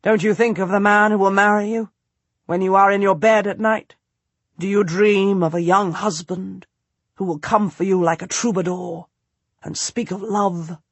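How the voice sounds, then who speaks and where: Leering middle-aged lord in a storybook, dropped low and husky, woman reader doing the voice, dry close sound. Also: fairly even intonation, normal speed, no echo, close to an everyday voice. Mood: probing. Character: powerful middle-aged nobleman, leering and insistent.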